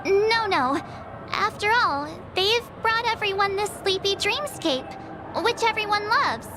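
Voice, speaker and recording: A naive young girl from a video game with a very high voice. She talks slowly, hesitant and wistful, in earnest trouble. Clear ambient noise sits behind her. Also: lively intonation. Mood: troubled, wistful. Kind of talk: scripted video game dialogue.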